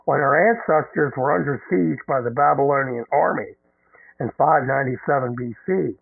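A man hosting a radio show who reads an announcement in a measured, deliberate way. He is losing his voice. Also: mid-range voice, gravelly, slightly rough, no room sound. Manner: measured, deliberate reading with halting ad-libs. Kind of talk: reading an event announcement on air. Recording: narrow phone-line radio sound.

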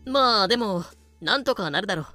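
Male actor as greedy, carefree pirate captain, smug and sharp-toned, audio drama with music bed. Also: high-pitched, expressive, fast. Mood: breezy. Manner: haughty, flippant.

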